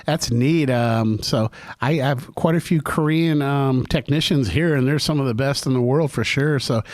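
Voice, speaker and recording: A man's voice: medium pitch, moderate pace, a little mellow, dry recording. A man hosting a podcast, chatty and upbeat, with a slangy, laid-back way of talking. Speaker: podcast host. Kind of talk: casual podcast interview.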